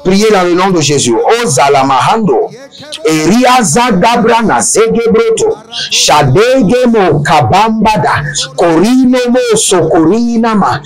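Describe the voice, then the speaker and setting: Slow emphatic male preacher. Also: sits high, lively intonation, low-quality recording. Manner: slow, emphatic, chanting in tongues. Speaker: prophet leading prayer. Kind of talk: deliverance prayer and sermon.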